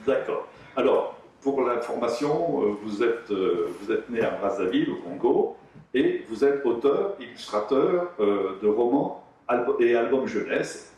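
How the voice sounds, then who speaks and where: A man's voice: medium pitch, lively intonation, a little slow, darkish tone, lo-fi sound. A man hosting an interview, asking meandering questions with many restarts and fillers. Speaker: interviewer host. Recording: echoey room, distant mic, faint noise.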